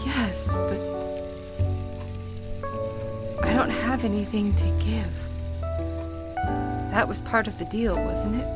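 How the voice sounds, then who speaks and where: An actress playing a grieving, skeptical woman in a radio play, emotional and halting, easily shaken as she speaks. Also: mid-range voice, close to an everyday voice. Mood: nervous, hopeful. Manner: halting, stammering, dramatic. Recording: music behind the voice.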